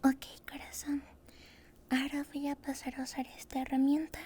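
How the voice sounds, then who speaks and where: A woman doing an ASMR roleplay as a dental hygienist, speaking softly and breathily in a tender, soothing way, close to the microphone. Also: sits high, monotone, moderate pace. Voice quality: soft whispery, breathy. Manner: tender, soothing, uses many diminutives. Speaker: ASMR creator role-playing a dental hygienist.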